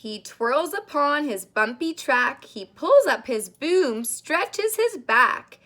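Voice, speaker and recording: A woman reading a picture book aloud to young children like a daycare teacher, in an expressive, sing-song way with drawn-out words. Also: high voice. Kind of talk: animated read-aloud of a children's storybook.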